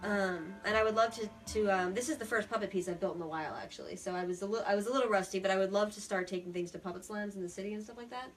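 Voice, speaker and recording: A woman's voice: medium pitch, rapid-fire, hardly any pauses. An American woman talking casually as an interview guest, earnest and a little rambling and self-effacing. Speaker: interview guest, puppeteer and theater artist. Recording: distant mic with music behind.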